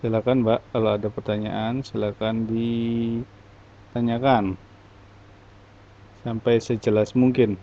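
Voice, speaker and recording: A man teaching an English class in a relaxed, casual way, answering student questions with lots of fillers. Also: medium pitch, takes it slowly, long pauses, highs cut off. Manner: relaxed, explains with many fillers.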